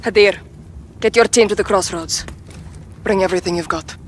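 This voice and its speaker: A woman's voice: medium pitch, normal speed, slight background noise, natural voice. Woman acting an intense rebel commander. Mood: grave, resolute. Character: resolute young female Middle Eastern rebel commander. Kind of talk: dramatic video game acting. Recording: loud cinematic music behind.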